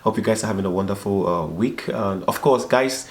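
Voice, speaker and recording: A man's voice: on the low side, a little fast. A man with a slightly dried-up, tired voice, hosting a vlog in casual, informal and repetitive chat. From foreigner living in Belgium. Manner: repetitive, filler-heavy, informal. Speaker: vlog host. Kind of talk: casual chat to viewers and guest. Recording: echoey room sound.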